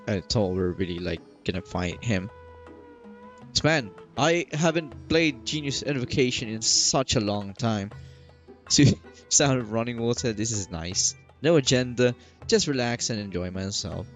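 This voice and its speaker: A man chats casually through a video game playthrough. His low voice is creaky and very animated, rambling along with faint music underneath. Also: unhurried. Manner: rambling, chatty, reacts aloud. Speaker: gaming streamer. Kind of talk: casual let's-play commentary.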